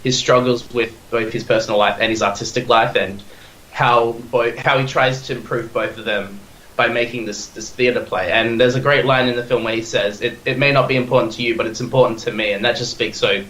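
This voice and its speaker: A young Australian man in his twenties, chatting eagerly as a podcast guest. His talk rambles, and he restarts his sentences and leans on fillers. His voice sits at a middling pitch and sounds echoey, as if recorded in a bare room. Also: fairly even intonation, a little fast, bright, forceful tone, slight background noise. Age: 20s. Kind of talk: casual chat.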